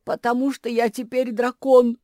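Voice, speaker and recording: A woman voicing a gentle, sorrowful young puppy turned dragon in a storybook, with heartfelt, drawn-out delivery. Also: on the high side, no room sound, studio quality, pitched above the performer's own voice.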